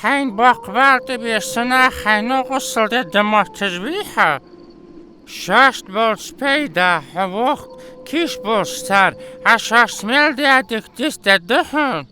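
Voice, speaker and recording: A man voicing an unhurried male cartoon character in a dub with some background noise. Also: extremely high pitch, lively intonation, pushed and bright, heavily acted. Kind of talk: cartoon dubbing.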